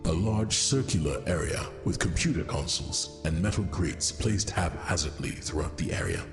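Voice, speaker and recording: A man's voice: low voice, normal speed. A man narrating a space adventure audio drama as a solemn scripted storyteller. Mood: measured. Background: music.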